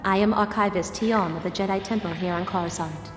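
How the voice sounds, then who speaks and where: Casting: female actor as formal, inquisitive archivist for a space game, medium pitch, precise diction, reverberant hall sound. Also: steady tone, brisk, close to an everyday voice. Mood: formal, inquiring. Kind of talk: scripted game cutscene dialogue. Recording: echoey hall reverb, faint music.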